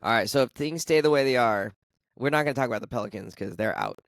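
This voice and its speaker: A man in his forties hosting a casual podcast chat, his voice hoarse from coughing, joking and laughing often. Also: medium pitch, fast talker, fairly bright tone, no echo, clean studio sound. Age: 40s. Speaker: podcast host.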